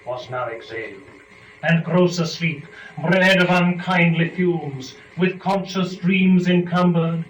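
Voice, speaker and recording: A man narrating epic poetry as a dramatic reading, solemn and measured, with declamatory phrasing and weighty pauses. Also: a little high, dull audio, a crowd in the background. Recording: echoey room, distant microphone, clear noise.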